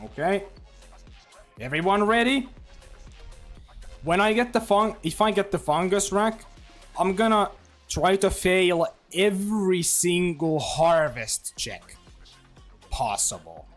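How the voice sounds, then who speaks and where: Chatty streamer guy, lively rambling voice. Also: high voice, slow, long pauses, soft background music. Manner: rambling, chatty, lots of fillers and restarts. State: throat getting dry. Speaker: live streamer. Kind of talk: casual livestream chat while gaming.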